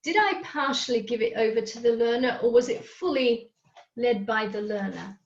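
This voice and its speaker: A woman's voice: fairly high pitch, moderate pace, reverberant, lo-fi sound. A woman whose first language is Hungarian, presenting a live webinar on coaching and checking in often with her listeners. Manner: explanatory, often checks in with "okay?".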